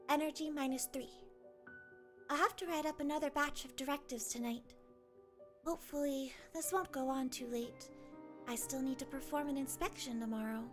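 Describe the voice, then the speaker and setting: An actress voices a gentle young priestess leader from an anime game, high in pitch. She narrates her diary with dutiful, weary earnestness over soft music. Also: close to an everyday voice.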